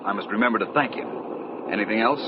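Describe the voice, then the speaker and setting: An actor playing an urbane gentleman detective, suave, formal and dryly witty, in old-time radio drama style. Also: lowish voice, fast, lightly acted. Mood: coolly amused. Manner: suave, dry wit, formal. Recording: dull noisy vintage radio recording.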